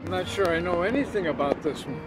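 Elderly American man, higher-pitched, terse. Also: expressive, fast, over loud music, poor audio. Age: 70s or older. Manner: noncommittal, terse. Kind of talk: casual recollection. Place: outdoors in a yard beside parked vehicles.

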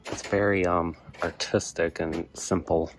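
A man's voice: deep voice, level tone, a little slow, slight background noise. A man giving casual, off-the-cuff commentary while browsing in a store, recorded on a handheld phone. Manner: off-the-cuff, thinking aloud. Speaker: thrifting vlogger and reseller. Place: inside a large thrift store. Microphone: handheld phone camera close by. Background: busy store ambience.

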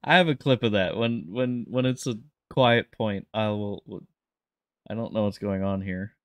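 A man with a fairly low voice speaks in a hesitant, bemused way. He is improvising in a game roleplay, and the sound is close and dry with little room in it. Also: moderate pace, clear, resonant tone, no room sound, dull audio. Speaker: roleplay streamer playing a police lieutenant.

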